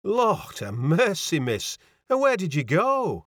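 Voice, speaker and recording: A man's voice: sits high, huge pitch swings, slow pace, a bit bright, no echo, clean studio sound, pitched above the performer's own voice, full character voice. A man voicing a fussy, kindly Yorkshire landlady in a storybook, exclaiming in deferential dialect and easily alarmed. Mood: flustered, alarmed.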